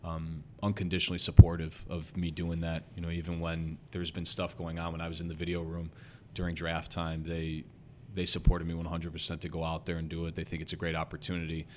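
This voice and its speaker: A young man with a slight Chicago accent, speaking as a guest coach on a podcast. His voice is very deep and stays quite flat. He talks earnestly and quickly, full of "you know" and "um", with a faint low rumble behind him. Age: young adult. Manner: earnest, talks fast with many fillers. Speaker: basketball head coach, guest. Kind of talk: casual podcast interview.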